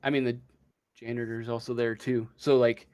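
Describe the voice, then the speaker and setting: A man running a casual tabletop game as game master, improvising the narration in a hesitant, halting way. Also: medium pitch, level tone, a little mellow.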